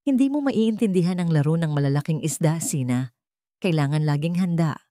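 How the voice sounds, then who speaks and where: A woman's voice: low voice, lively intonation, fairly quick, warm tone, no echo, studio quality. A woman voicing a smug, secretive husband in a narrated story, dismissive and condescending.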